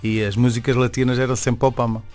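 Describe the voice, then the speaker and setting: A man's voice: mid-range voice, little pitch movement, fast, a little mellow. Venezuelan-born guy, spanish first language, casual interview chat, medium voice, repeats words a lot, light music under. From born in Venezuela, in Portugal from age ten. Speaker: singer, interview guest.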